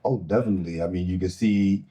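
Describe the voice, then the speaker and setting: A male actor talking casually after a reading, thoughtful and halting with many restarts. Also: low voice, mellow. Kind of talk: casual discussion.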